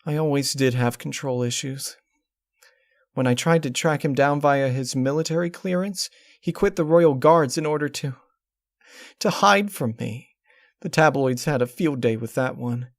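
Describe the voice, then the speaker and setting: A man's voice: medium pitch, moderate pace, dry recording, clean studio sound, pitched above the performer's own voice, lightly acted. A man voicing a distraught, controlling young female pony princess in a storybook reading, with a pleading, emotionally strained delivery. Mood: subdued, regretful. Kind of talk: dramatic storybook character reading.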